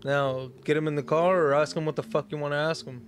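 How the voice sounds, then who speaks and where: A man's voice: fairly high pitch, steady tone, fast. A man co-hosting a podcast in casual, profane banter, blunt and crude in his joking. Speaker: podcast co-host.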